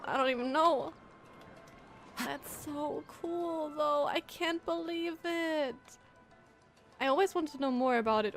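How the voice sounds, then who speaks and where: A woman streaming a video game with casual, emotional commentary and exclamatory reactions. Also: sits high. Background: game music.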